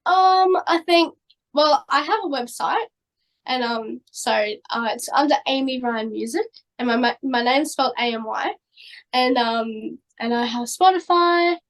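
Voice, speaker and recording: Jeune femme australienne, voix aiguë, bavarde, rapide, un peu enrouée, pour interview détendue. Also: female voice, high-pitched. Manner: chatty, many fillers, quick bursts. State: slightly hoarse voice. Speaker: singer-songwriter guest. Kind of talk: casual interview chat.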